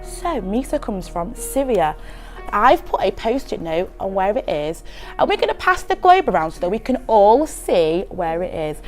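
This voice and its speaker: A woman's voice: medium pitch, very animated, moderate pace. A woman primary school teacher explaining things to her class in a TV report. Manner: bright classroom explaining to children. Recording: TV report with music underneath.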